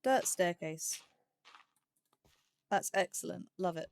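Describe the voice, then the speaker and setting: A woman's voice: lowish voice, expressive, takes it slowly, creaky, slight background noise. A woman giving casual let's play commentary, chatting and musing aloud in a self-deprecating way. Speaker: gaming YouTuber, host of a let's play series.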